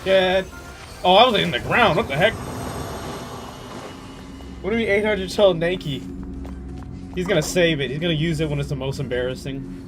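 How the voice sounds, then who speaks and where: A man's voice: on the high side, moderate pace, pauses often. A man in his twenties chatting casually and informally with viewers as he plays, rambling and teasing. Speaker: game livestreamer. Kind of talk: casual chat while gaming, answering viewers. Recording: game music behind the voice. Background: video game music.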